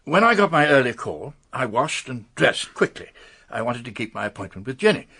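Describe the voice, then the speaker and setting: Anxious English gentleman, husky and animated. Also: mid-range voice, a little fast, full character voice. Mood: rueful, confiding. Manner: hesitant, stammering, formal. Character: anxious, confessing upper-class English gentleman. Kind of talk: radio drama acting.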